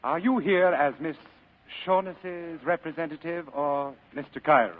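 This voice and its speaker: Man playing heavyset crime boss, sly, jovial, ornate courteous phrasing, higher-set male voice, old muffled radio recording. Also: normal speed, lightly acted. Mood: delighted, shrewd. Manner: florid, courtly, chuckling speech. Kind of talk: 1940s radio acting.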